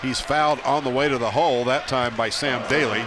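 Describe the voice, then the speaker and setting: A man's voice: mid-range voice, steady tone, a little fast, crowd noise. A man calling live basketball play-by-play from courtside, speaking in clipped, fragmentary calls. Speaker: play-by-play sports commentator. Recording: loud gym noise, bouncing basketball behind. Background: arena noise and ball bounces.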